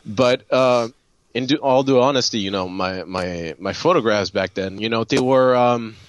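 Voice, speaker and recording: A man from Haiti telling a personal story casually, in a halting way with repeated words. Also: medium pitch. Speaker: guest photographer and designer.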